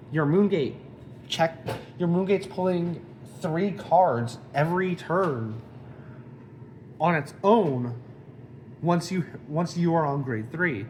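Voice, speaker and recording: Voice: medium pitch, a little hiss or hum. A card game deck reviewer with a slight cold, casually explaining card effects one by one.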